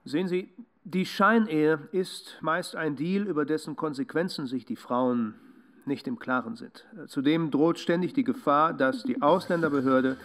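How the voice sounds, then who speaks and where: Radio drama: didactic legal expert, slightly high pitch, formal, earnest. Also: male voice, measured pace. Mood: earnest, warning. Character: earnest, explaining male legal expert on a programme.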